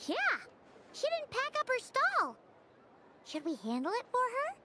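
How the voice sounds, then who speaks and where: A very high, squeaky game fairy, small and childlike. The delivery is cutesy and helpful, with lively swings in pitch. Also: female voice, measured pace, background noise, full character voice. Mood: bright, agreeable. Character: tiny childlike floating fairy companion, chirpy and helpful. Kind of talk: video game voice acting.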